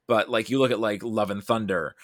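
A man talking casually about films as a podcast guest, thinking aloud in a halting, rambling way. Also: fairly low pitch, fairly even intonation, no echo, clean studio sound. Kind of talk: casual film discussion.

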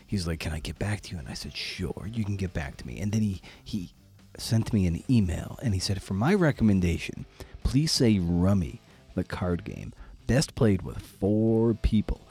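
A man's voice: low voice, warm tone, breathy edge. A man hosting a podcast, chatting casually in a rambling, informal way with playful asides. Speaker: podcast host. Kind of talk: casual interview and outro chat.

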